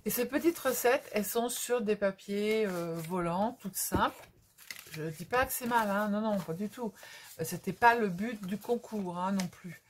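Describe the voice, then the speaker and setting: A woman's voice: mid-range voice, measured pace, a little hiss or hum. A middle-aged woman, chatting casually and hesitantly as she hosts a craft vlog, rambling and repeating herself. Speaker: craft video host. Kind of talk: casual unscripted vlog chat.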